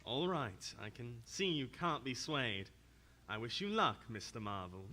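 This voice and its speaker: An actor playing a concerned, polite doctor in audio theater, earnest and pleading. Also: male voice, medium pitch, big pitch swings, measured pace, pushed and bright. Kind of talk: live audio theater performance.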